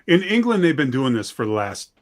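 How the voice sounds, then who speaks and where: A man's voice: mid-range voice, big pitch swings, a little fast. A man talking casually as an interview guest, plain-spoken and self-deprecating, emphatic about what he believes. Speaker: guest, audio drama writer and director.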